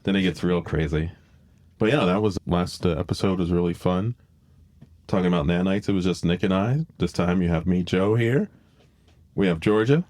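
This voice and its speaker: Low-voiced man hosting a casual podcast. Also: moderate pace, darkish tone, dry recording, studio quality. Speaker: podcast host, biologist. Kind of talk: casual explanatory podcast talk.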